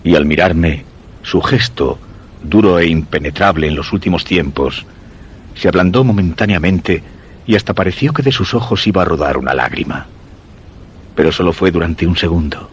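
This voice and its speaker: An actor playing an ailing, suspicious husband who narrates his macabre tale in a Spanish radio drama, measured and literary, with a Castilian accent. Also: low-pitched, a little hiss or hum, close to an everyday voice. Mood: brooding, uneasy. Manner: measured, literary, brooding delivery.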